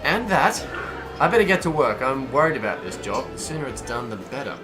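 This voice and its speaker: A man acting a cavalier, wry smuggler pilot in an audio drama, flippant and expressive in his delivery. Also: medium pitch, a little fast, loud music behind the voice, close to an everyday voice. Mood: wary, restless.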